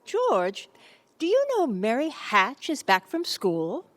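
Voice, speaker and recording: A fond, meddling mother in a small-town radio drama. Her voice sits a little high and moves up and down freely as she briskly coaxes. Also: wildly swooping pitch, full character voice. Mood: coaxing. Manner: brisk, coaxing. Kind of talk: live radio play acting.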